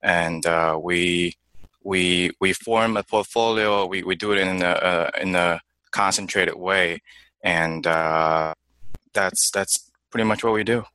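A young man from China, guest in an interview, answering in a halting way with many fillers and restarts. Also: sits low, steady tone, pushed and bright, clear, resonant tone, no room sound. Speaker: investment manager guest.

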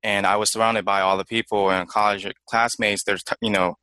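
A young man from China, guest in an interview, answering in a halting way with many fillers and restarts. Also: on the low side, level tone, sharp tone, clean tone, dry recording. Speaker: investment manager guest.

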